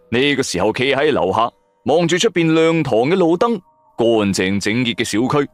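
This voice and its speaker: A man narrating a first-person audio drama in Cantonese, in a dramatic scripted storytelling style. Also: on the low side.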